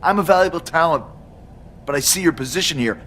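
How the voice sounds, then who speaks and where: A man's voice: a little high, lively intonation, fairly quick, fairly bright tone, slight background noise. A man playing a cocky, self-entitled pro wrestler in a video game cutscene, with a smug, sarcastic manner. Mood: smug, placating.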